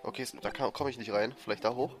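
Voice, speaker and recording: A man with a cold giving excitable, frustrated commentary over a platform game he is playing. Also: medium pitch, quick pace. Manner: frustrated, excitable, swears and laughs. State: has a cold, coughing. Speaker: let's player. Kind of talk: gameplay commentary. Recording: faint game music behind. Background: game music.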